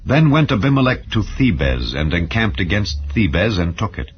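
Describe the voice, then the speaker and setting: Male narrator for dramatized Bible audio: very low pitch, solemn, measured, slightly dull recording. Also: big pitch swings. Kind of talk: dramatized scripture reading. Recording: dull, muffled lo-fi sound.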